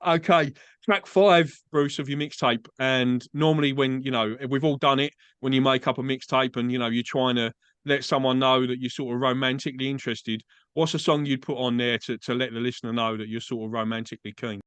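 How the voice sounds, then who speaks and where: A man's voice: mid-range voice, steady tone, a little fast, fairly bright tone, clean tone, dry recording. A man from England with an Essex accent, hosting a podcast interview in a chatty, agreeable way, often saying "mate". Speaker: podcast host. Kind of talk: casual interview chat. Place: Australia.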